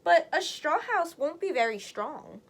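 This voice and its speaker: Woman putting on an obliging, high-voiced storybook tradesman. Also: lively intonation, measured pace. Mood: obliging, advising. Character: friendly, obliging male tradesman giving frank advice.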